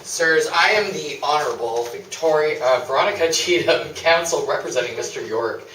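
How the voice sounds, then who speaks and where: A man acts out a bossy, officious lady lawyer in a comic radio play, formal and a little halting. The voice is sharp and forceful, ringing from a distance in an echoey theatre. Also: fairly high pitch. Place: small theatre stage, live performance. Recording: echoey stage, distant noisy camera mic.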